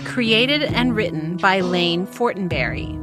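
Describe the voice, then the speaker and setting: A woman reads closing credits in a slow, measured delivery. Loud music plays underneath her voice. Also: medium pitch, animated. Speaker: credits announcer. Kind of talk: scripted credits reading.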